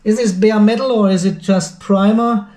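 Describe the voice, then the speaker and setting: Guy w high voice, reviewing gear hands-on, thinks out loud, echoey room. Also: fairly even intonation, normal speed. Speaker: video host and product reviewer. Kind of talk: unscripted hands-on product review commentary.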